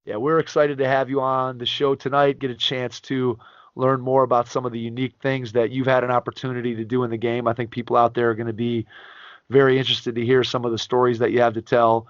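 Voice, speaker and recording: A man hosting a basketball coaching podcast, reading a guest's biography in a measured announcer style and then asking interview questions. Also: medium pitch, little pitch movement, dry recording, muffled recording. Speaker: podcast host.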